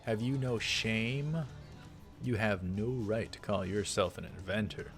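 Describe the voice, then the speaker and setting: A brash young man acted by a male game player, full of suspicion and accusation. He is theatrical, with a fairly low voice, over faint visual novel music. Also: a little slow. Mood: indignant. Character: brash, paranoid young man, a self-styled crusader.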